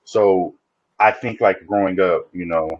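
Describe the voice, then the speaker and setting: A man's voice: low voice, fairly even intonation, a little slow, no echo, muffled recording. A man guesting on a podcast, talking reflectively in a casual interview and often restarting his sentences. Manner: reflective, speaks in restarts and repeats.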